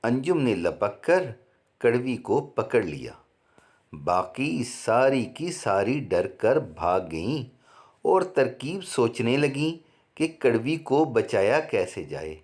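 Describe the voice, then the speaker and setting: A man narrating a children's fairy tale in a measured, deliberate storytelling manner. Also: medium pitch, big pitch swings, a little mellow.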